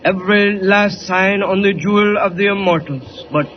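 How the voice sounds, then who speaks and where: An actor playing a grave, proud native priest who speaks stilted broken English in an old radio drama. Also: male voice, high voice, normal speed, natural voice. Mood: grave, mysterious. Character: solemn Inca-descended native priest, grateful and proud. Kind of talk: 1940s radio drama acting. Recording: dull, noisy old radio transcription.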